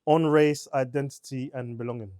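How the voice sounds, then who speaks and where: A man's voice: medium pitch, steady tone, moderate pace, warm tone, no echo, studio quality. A man co-hosting a casual book discussion podcast, talking hesitantly with many fillers. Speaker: podcast co-host.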